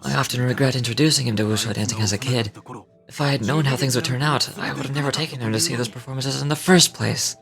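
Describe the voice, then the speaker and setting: A man's voice: mid-range voice, quick pace, gravelly, crowd noise, natural voice. A man playing a worried, stubborn middle-aged father and tea merchant in video game dialogue, speaking earnestly and wistfully. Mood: anxious, regretful.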